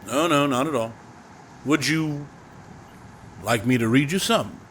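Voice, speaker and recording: An actor playing a young theatre director who reads a novel aloud with slow, dramatic delivery in an audio drama. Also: male voice, mid-range voice, a bit bright, slight background noise, close to an everyday voice.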